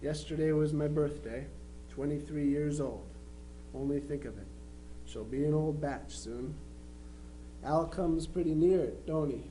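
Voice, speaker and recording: A man playing a plainspoken twenty-three-year-old Union soldier, reading his affectionate letters home in an unhurried, matter-of-fact way. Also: fairly high pitch, warm tone, close to an everyday voice. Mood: fond, conversational. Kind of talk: dramatized reading of historical letters. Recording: faintly noisy live recording with hum.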